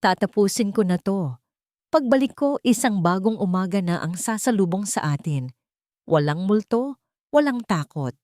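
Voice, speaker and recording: A resolute young heroine of a romantic drama, as a woman narrator voices her. She speaks solemnly and deliberately, grieving yet set on fighting back. The sound is dry and close. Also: medium pitch, lively intonation, darkish tone, no room sound, close to an everyday voice. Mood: tender vow.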